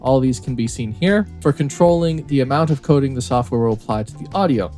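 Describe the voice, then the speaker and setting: Male tutorial presenter, mid-pitched, casual and explanatory, walking through controls step by step, music in the background. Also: brisk, mellow. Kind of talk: explanatory software tutorial narration.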